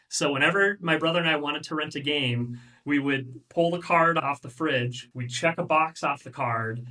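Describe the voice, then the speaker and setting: A man's voice: medium pitch, bright, forceful tone, far from the mic, rough recording. A man telling stories from memory in a casual, conversational way as a guest on a podcast. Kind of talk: casual reminiscing storytelling.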